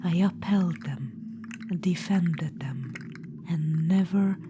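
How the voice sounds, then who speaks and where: A woman voicing a vain undead witch-queen necromancer in an ASMR roleplay, whispering softly and calling the listener darling. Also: lowish voice, slow pace. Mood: smug whisper. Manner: soft intimate whisper, calls listener darling; smug, boastful, self-satisfied. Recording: whisper with steady background noise.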